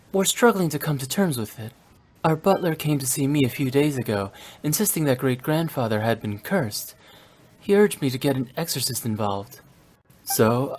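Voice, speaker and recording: A man playing a courteous, composed young nobleman in a video game, speaking in a measured, formal way. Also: mid-range voice, lightly acted. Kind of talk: English video game voice acting.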